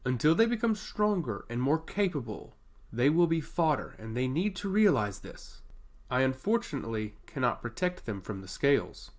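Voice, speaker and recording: A man's voice: medium pitch, big pitch swings, moderate pace, lightly acted. A man voicing a coldly pragmatic, dutiful commander in a fantasy audiobook, solemn, formal and deferential to his lord. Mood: measured frustration.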